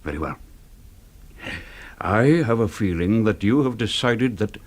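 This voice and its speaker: An actor in a radio drama playing an older father, strong and sinister, who speaks with deliberate, formal courtesy. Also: fairly low pitch, lively intonation. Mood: guarded, courteous. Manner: deliberate, formal, silky politeness.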